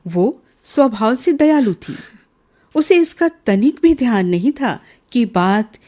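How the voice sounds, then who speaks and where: Slow, expressive woman storyteller. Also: fairly high pitch, a little hiss or hum. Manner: measured, expressive. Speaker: narrator. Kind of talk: scripted dramatic storytelling for radio.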